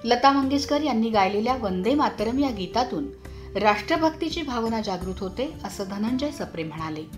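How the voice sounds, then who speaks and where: Formal woman news reader, higher voice. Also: expressive, music in the background. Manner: formal, measured reading. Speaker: radio newsreader. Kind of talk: scripted news narration.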